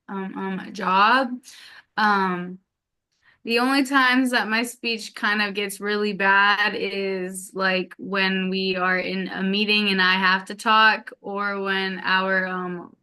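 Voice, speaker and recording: A woman's voice: mid-range voice, pushed and bright, clear, resonant tone. A woman who stutters, with sound repetitions and lots of filler ums, talking casually as an interview guest. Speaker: podcast guest.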